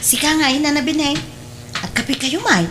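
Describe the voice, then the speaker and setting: A woman's voice: high-pitched, normal speed, background noise, close to an everyday voice. A woman playing a cheerful, cheeky young housemaid in an Ilocano radio drama. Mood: insistent.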